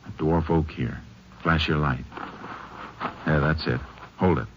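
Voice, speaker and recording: An actor playing a steady, firm Texas Ranger in a radio western, terse and plain-spoken. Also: male voice, extremely low pitch, a little slow. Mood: focused, terse. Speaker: lead of the show, also narrating. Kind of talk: 1950s radio western acting and narration. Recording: muffled old radio recording, faint hiss.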